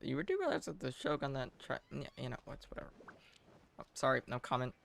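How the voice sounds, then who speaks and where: A man's voice: medium pitch, very animated, brisk, vocal fry, a bit bright. A man giving casual gameplay commentary as a streamer, with dry, teasing asides. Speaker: game streamer. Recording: stream with game music behind.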